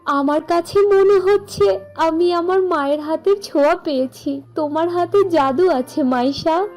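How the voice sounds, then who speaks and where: Woman putting on a high, humble village woman. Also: a little slow, a little mellow, faint music behind. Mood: moved, grateful. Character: admiring, humble village women, tired and grateful. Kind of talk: cartoon storytelling.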